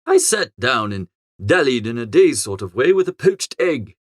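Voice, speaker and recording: A man's voice: mid-range voice, sing-song, normal speed, no echo, studio quality. A man narrating a comic first-person story as an audiobook reader.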